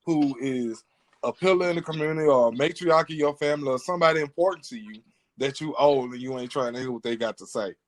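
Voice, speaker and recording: A man's voice: mid-range voice, fast. Male podcast host, mid-range voice, earnest and informal, opinionated conversational delivery. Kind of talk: casual opinionated podcast talk.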